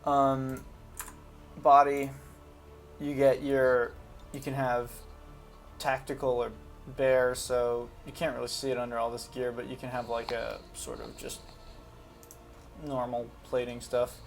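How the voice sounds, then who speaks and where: A man's voice: mid-range voice, completely flat delivery, measured pace, creaky, long pauses, soft background music. A man giving casual, unscripted commentary on a video game, talking informally and hesitantly as he thinks aloud. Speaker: gaming video host.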